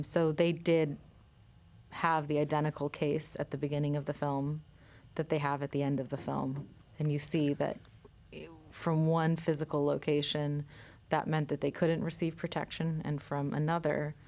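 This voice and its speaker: A woman filmmaker, a guest in a studio interview, explaining her work in a measured way with frequent pauses and ums. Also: sits low, flat delivery, darkish tone.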